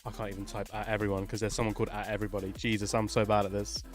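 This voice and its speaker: A man's voice: fairly low pitch, little pitch movement, racing, soft background music. A man casually chatting on a livestream while he works, informal and sweary, often muttering to himself. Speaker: livestream host, music maker.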